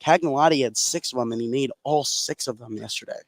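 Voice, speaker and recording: A man's voice: mid-range voice, a little fast, no room sound. An American man giving informal, explanatory color commentary during a live basketball broadcast. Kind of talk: live sports analysis, conversational. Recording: light gym background noise. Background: gymnasium ambience.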